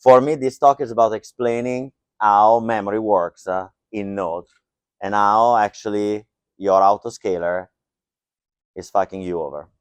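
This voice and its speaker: A man's voice: fairly low pitch, unhurried, clear, resonant tone, no echo. A middle-aged man giving a joking, loose tech talk to a live audience, with lots of fillers. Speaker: conference speaker. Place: lecture hall.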